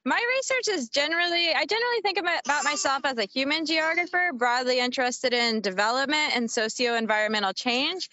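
Measured woman professor introducing herself. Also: high-pitched, expressive, sharp tone, dry recording, highs cut off. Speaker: professor, podcast co-host. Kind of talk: casual self-introduction.

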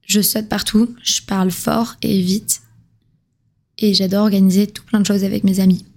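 A woman in her twenties, from southern France, talks alone into the mic as host of her own podcast. Her voice sits at a middling pitch and stays fairly flat and level. She speaks in a casual, confiding way with plenty of slang. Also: moderate pace, gravelly. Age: 20s. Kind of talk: solo podcast monologue.

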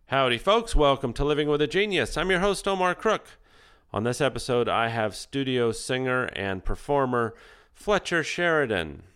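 A man's voice: mid-range voice, expressive, moderate pace, fairly bright tone, clean tone, no echo. A man hosting a casual interview podcast, a little stuffed up from a cold, chatty and joking at his own expense. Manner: joking, self-deprecating, chatty. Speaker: podcast host. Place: Los Angeles studio.

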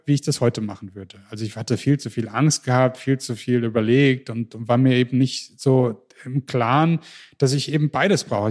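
German male podcast guest, average pitch, animated storyteller who often restarts his sentences. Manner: lively storytelling with frequent restarts. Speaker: interview guest. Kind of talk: casual podcast interview.

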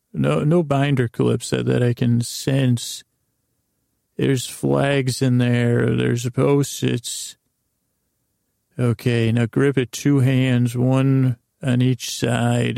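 A man hosting a bedtime podcast with a gravelly voice, rambling through a whimsical, meandering, improvised monologue to help listeners sleep. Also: mid-range voice, warm tone, dry recording, studio quality. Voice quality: very creaky, gravelly voice. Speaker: host of a bedtime story podcast.

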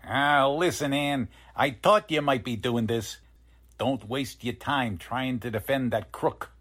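A man's voice: mid-range voice, slow, a bit bright, husky. A man playing a cynical, streetwise newspaper photographer with slangy teasing talk in a 1940s-style radio drama. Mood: cynical, insistent.

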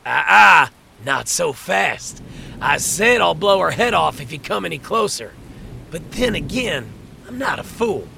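A man playing a cocky, menacing criminal gunman in an audio drama, taunting in a gravelly voice. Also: on the high side, sing-song, measured pace, pushed and bright, slight background noise, heavily acted. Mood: taunting menace. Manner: taunting, theatrical.

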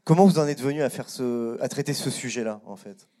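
A man hosting a radio show who introduces student guests, friendly, with frequent pauses and hesitations. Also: medium pitch, dark tone. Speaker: radio host.

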